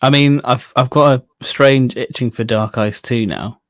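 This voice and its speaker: A man with an average-pitched voice talks casually as a podcast co-host. The delivery is informal fan chat, and the sound is dry with very little room. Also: unhurried, no room sound.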